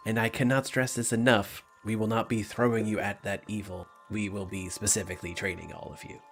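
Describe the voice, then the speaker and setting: Tabletop scout leader, female male player: low, creaky, hesitant, apologetic, rambling. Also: little pitch movement, a little fast, a bit bright. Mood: earnest, explaining. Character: tall, awkward, apologetic woman, leader of scouts.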